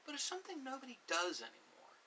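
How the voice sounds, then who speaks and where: A man's voice: very high-pitched, huge pitch swings, quick pace, pushed and bright. A man rambling informally in an ASMR music talk, musing and opinionated, often drifting into asides. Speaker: host of an ASMR channel. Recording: distant mic with hiss.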